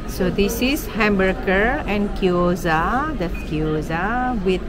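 A woman's voice: medium pitch, takes it slowly. A woman, casually presenting food item by item as a vlog co-host. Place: busy indoor food court. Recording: loud music, noisy food court crowd.

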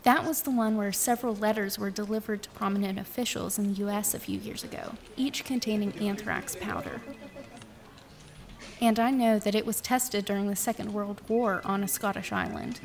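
A woman playing a brisk, obliging newspaper journalist in audio drama dialogue, businesslike and persuasive. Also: mid-range voice, fairly even intonation, natural voice.

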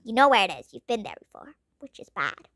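A woman voicing a strict female schoolteacher who barks loud orders at late students in a game roleplay. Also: on the high side, sing-song, brisk, no room sound, clean studio sound, voice pushed down. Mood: scolding. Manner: bossy, loud scolding orders.

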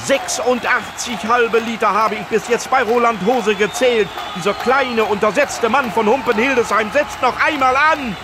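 Shouting, very high-pitched male sports commentator. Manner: shouting, breathless excitement. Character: frantic, excited male live sports commentator. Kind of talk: parody live sports commentary. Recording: loud crowd and venue noise. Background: cheering crowd.

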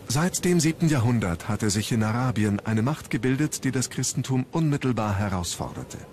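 A man's voice: mid-range voice, noisy background. A man reading scripted narration for a documentary. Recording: music under the narration. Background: background music.

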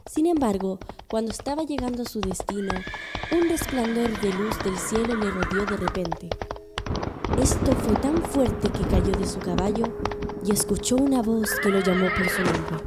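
A woman's voice: medium pitch, moderate pace, heavy background noise. A woman narrating a scripted religious radio drama as a storyteller. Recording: long reverb, music underneath.

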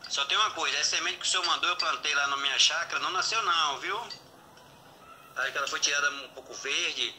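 A man's voice: on the high side, quick pace, bright, forceful tone, slight background noise. A man leaving a casual voice message as a satisfied customer. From Bahia, Brazil. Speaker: customer giving a testimonial. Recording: voice note replayed through phone speaker.

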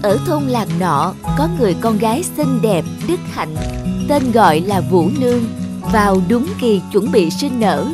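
Female fairy tale narrator, fairly high voice, scripted delivery over music. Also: lively intonation, unhurried. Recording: music under the voice.

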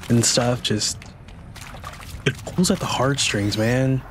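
Stuffy-nosed casual gaming commentator. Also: male voice, mid-range voice, slow pace, fairly bright tone, a little hiss or hum. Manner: casual, chatty. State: stuffy from a cold. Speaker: gaming channel host. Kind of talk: let's play commentary.

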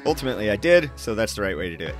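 A man's voice: lowish voice, very animated, racing. A man in his forties chatting to the camera in a loose, self-mocking way while cooking in his kitchen and out riding his bike. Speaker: cycling vlogger. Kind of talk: casual vlog, cooking and riding. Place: home kitchen, then outdoors on a road bike. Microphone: action camera on the bike or held close. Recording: action-camera audio with music underneath.

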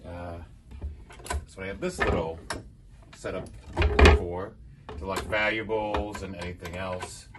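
A man's voice: low-pitched, fairly even intonation, unhurried, pauses often, heavy background noise. A middle-aged man giving a casual, rambling tour of his prop box in a theater prop shop, listing tools with many ums and uhs. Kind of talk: casual show-and-tell tour.

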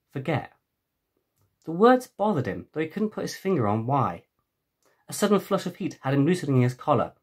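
A middle-aged man with a husky voice narrating a children's adventure novel as its author, recorded at a desk in a room at home. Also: on the high side. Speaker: author reading own book. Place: small home room with bookshelves. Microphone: webcam at desk distance.

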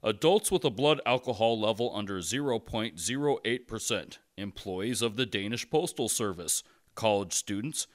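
An officious, cautious conscience played by a man in an audio comedy sketch. He has a lowish voice and insists on things like a legal announcer. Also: lively intonation, moderate pace, lightly acted. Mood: stern, official.

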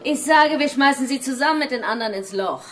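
An actress playing a callous, scornful member of a criminal gang in a radio drama. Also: female voice, high voice, animated, fast talker, a little hiss or hum.

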